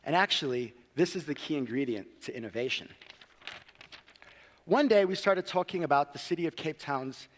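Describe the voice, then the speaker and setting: A man's voice: a little high, long reverb tail. A South African-born man with an American accent giving a conference presentation, mixing light self-deprecating humor into the talk. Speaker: conference speaker. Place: large hall.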